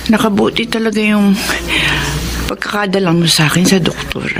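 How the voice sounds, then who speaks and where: A woman's voice: medium pitch, moderate pace, background noise, natural voice. A woman in a Filipino radio drama playing a poor, ailing blind mother, loving and upright, with an earnest, emotional delivery. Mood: gentle, weary.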